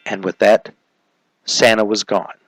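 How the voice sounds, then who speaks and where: A man playing a world-weary, deadpan police detective narrating a hardboiled crime parody, with music behind. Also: on the low side, unhurried, voice pushed down, close to an everyday voice, poor audio. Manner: deadpan, clipped.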